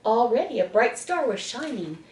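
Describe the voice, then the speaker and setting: A woman reading a children's bedtime story expressively, with dramatic pauses. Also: fairly high pitch, sing-song, a little mellow, a little hiss or hum. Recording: echoey room, distant mic.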